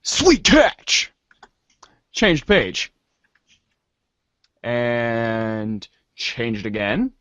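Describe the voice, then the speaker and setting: A man's voice: medium pitch, huge pitch swings, very slow, pauses often, fairly bright tone, no echo. A man narrating as host of a reader's theater, theatrical in the story and casual in asides, on a slightly dull recording.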